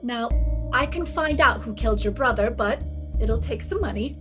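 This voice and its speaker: A woman's voice: a little high, lively intonation, moderate pace, soft background music, lightly acted. A woman playing a brash, wisecracking young private detective in a retro radio drama, wry and self-assured. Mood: brisk, persuasive.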